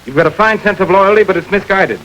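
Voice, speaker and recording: An actor playing a calm, hard-nosed male crime investigator who presses suspects steadily, in 1940s radio acting style. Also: high-pitched, fast, lo-fi sound, slight background noise. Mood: stern. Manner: steady, coolly persuasive.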